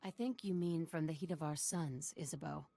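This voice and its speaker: An eccentric desert guide from a video game. She speaks formally in a slightly low voice, deferential yet mysterious, like a storyteller. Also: normal speed, vocal fry, dark tone. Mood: respectful. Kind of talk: scripted video game acting.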